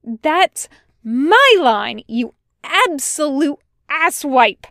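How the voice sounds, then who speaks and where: Woman doing a brash, high-pitched, sarcastic guy soldier. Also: takes it slowly, smooth, dry recording, clean studio sound. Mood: angry, shouting. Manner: prodding, slangy, swearing. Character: brash, sarcastic, exasperated young male soldier. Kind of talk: dramatic fan fiction reading.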